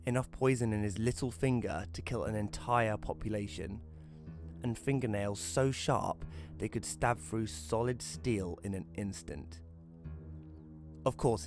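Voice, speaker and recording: Audio drama narrator, conversational and wry storyteller, lowish voice male performer, light music underneath. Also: a little mellow. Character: Rory, casual storyteller befriending a ghost. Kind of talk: scripted first-person narration.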